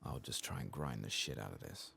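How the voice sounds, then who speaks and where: A man with an extremely low, gravelly voice gives soft ASMR game commentary. He murmurs and whispers as if musing to himself, his pitch wandering, with a faint noise behind him. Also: animated, fairly quick. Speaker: streamer playing a fantasy role-playing game.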